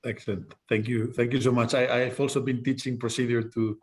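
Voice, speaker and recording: Conversational male instructor, mid-pitched. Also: flat delivery, fairly quick. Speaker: course instructor. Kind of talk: informal online class talk, directing students. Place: online video class.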